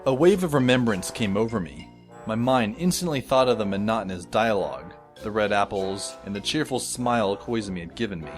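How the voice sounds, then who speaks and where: A man playing a sardonic, world-weary high school boy who narrates an audio drama in a wry, dry manner. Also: lively intonation, fairly quick, natural voice. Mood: wry, skeptical. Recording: music behind the voice.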